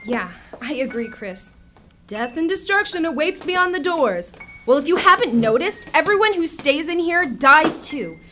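Defiant schoolgirl, high lively voice, blunt and dramatic, radio drama, noisy recording. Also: unhurried, heavily acted. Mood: exasperated. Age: teenager. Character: exasperated, defiant teenage schoolgirl in a zombie apocalypse. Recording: clearly noisy background.

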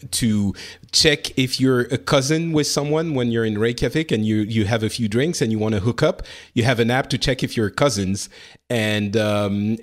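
A man hosting a casual podcast panel chat who talks with many fillers and restarts and laughs easily. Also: lowish voice. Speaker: podcast host.

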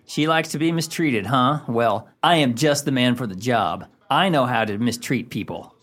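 A man's voice: medium pitch, moderate pace, fairly bright tone, natural voice. An actor playing a surly, domineering man in a radio comedy, blunt and emphatic in his delivery. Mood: sly, confident. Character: surly, domineering man scolding the woman who adores him. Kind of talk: radio comedy play acting.

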